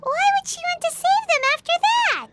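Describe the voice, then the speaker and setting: Tiny squeaky game sidekick, sky-high and bouncy, blurting eager questions. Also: female voice, animated, normal speed, very noisy. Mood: puzzled. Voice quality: squeaky, childlike. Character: tiny excitable floating companion girl. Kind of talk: game voice acting.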